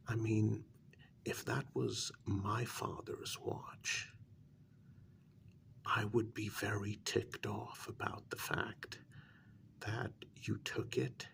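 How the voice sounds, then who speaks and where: Gravelly low male voice, acted, blunt exasperated advisor, breathy near-whisper, measured admonishing delivery, ASMR vampire role-play. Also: fairly bright tone, full character voice.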